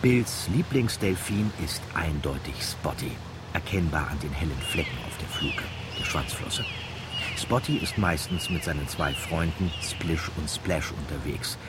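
An actor playing a wry, amused storyteller, narrating a scripted radio drama. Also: male voice, low voice, wildly swooping pitch, measured pace, very noisy, exaggerated voice. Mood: storytelling. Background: loud music.

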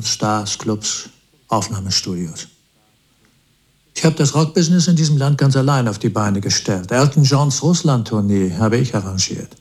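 A man's voice: medium pitch, darkish tone, lightly acted. Man playing a smug, boastful Moscow business boss. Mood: boastful, self-assured. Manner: boastful, self-assured, cynical. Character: smug, ruthless Moscow rock-business boss, self-made state official. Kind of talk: radio play monologue.

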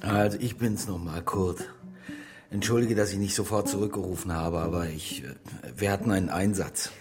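Low-voiced male police inspector played by an actor. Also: steady tone, normal speed, music in the background, natural voice. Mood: apologetic. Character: male police chief inspector, calm, caring, expectant father. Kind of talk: German radio crime drama acting.

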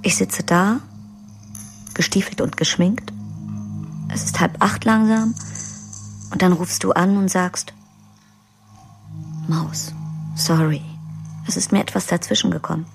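A jilted lover, husky and low. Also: female voice, fairly even intonation, a little slow, pauses often. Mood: bitter. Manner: intimate, half-whispered and bitter. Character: jilted woman waiting for her lover. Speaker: actor in a radio play. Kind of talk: radio drama monologue. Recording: studio radio play with music.

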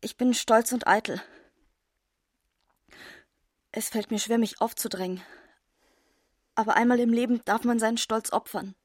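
An actress with speech playing a proud, wounded young gentlewoman, formal and earnest as she pleads in a radio play. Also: on the high side, fairly even intonation, moderate pace, no echo, studio quality, natural voice. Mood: tense, humbled pride. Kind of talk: literary radio play acting.

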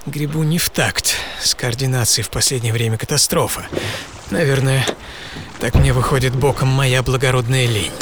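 A man's voice: mid-range voice, fairly even intonation, moderate pace, noisy background, lightly acted. A man playing a witty, ironic English physicist reflecting on his life in a theatrical radio monologue. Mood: wry, reflective. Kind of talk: dramatised first-person monologue, radio play.

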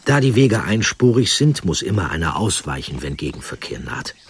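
A man with a low-pitched voice narrates a scripted historical story. He phrases it deliberately and dramatically, often in short units. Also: big pitch swings, slight background noise.

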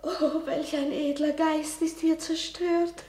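An actress playing a gentle, heartbroken young noblewoman in a classical radio tragedy, plaintive and solemn. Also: high-pitched, level tone, takes it slowly. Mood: grieving, despairing. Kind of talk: classical stage tragedy in German radio drama. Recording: roomy, reverberant studio sound.

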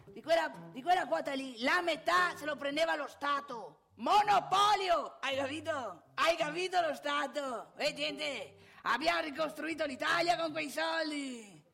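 Casting: actress as randy old man, high-pitched and gravelly, boastful radio-theatre monologuist who prods the listener. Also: unhurried, a bit bright, faint music behind, exaggerated voice. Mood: boastful, insistent. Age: older. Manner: rambling, emphatic, addresses listener with rhetorical questions. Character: lusty, nostalgic, boastful old Italian man.

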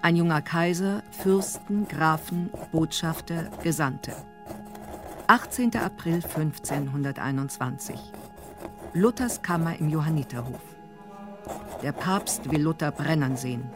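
Female radio drama narrator: low register, terse scripted scene announcements, with clear music underneath. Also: measured pace.

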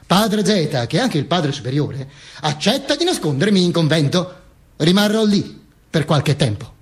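Man acting a high-pitched, theatrical dead dictator. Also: big pitch swings. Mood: urgent narration. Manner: emphatic, obsessively repetitive, deliberate pauses. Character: dead Mussolini telling his posthumous autobiography, theatrical and ironic. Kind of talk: dramatic monologue storytelling, radio theatre.

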